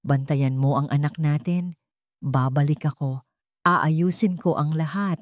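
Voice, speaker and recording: A woman voicing a determined, protective wealthy young man in a story, grave and deliberate in delivery. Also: deep voice, no room sound, clean studio sound. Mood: resolute, protective.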